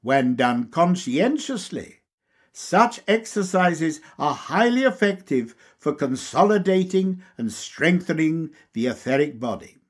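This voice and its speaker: Slow, husky male audiobook reader. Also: on the high side, big pitch swings. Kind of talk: scripted narration, reading a lecture aloud.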